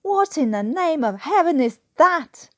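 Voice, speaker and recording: Woman doing an exasperated cockney airman bloke, loud and high, swoopy, indignant storybook character. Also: big pitch swings, a little slow, no room sound, full character voice. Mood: choked alarm. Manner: emphatic, exasperated. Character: indignant cockney English airman, sardonic tea lover.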